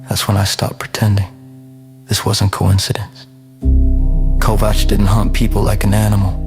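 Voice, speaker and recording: A man narrates a hard-boiled noir drama in a low, level voice. His delivery is grim and measured, built from short clipped sentences. Loud plucked guitar music plays underneath him. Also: creaky.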